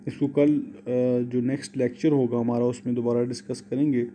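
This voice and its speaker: A man with a Pakistani accent lecturing on law, reading legal text and explaining it deliberately, switching between two languages. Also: medium pitch, flat delivery, warm tone, a little hiss or hum.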